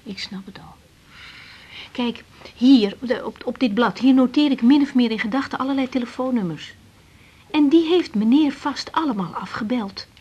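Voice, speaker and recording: A woman's voice: on the high side, a little mellow, slightly rough, dull audio. An actress playing a guarded, defiant young woman doctor in a radio drama. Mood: wary, pensive. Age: young adult. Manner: evasive, curt, quick to retort. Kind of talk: 1980s Dutch radio drama acting.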